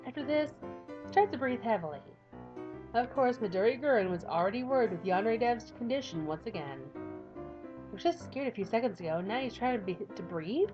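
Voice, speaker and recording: A woman's voice: a little high, animated, a little fast, muffled recording, over music. A woman YouTuber reading a visual novel aloud with casual, playful, giggly commentary. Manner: playful, giggly, self-mocking.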